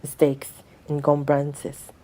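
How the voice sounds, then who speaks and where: A woman's voice: low-pitched, completely flat delivery, slow pace, dark tone. A young woman with a Filipino accent playing an earnest, wistful man courting a girl in a student radio drama. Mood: regretful. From Philippines. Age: young adult. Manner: earnest, wooing.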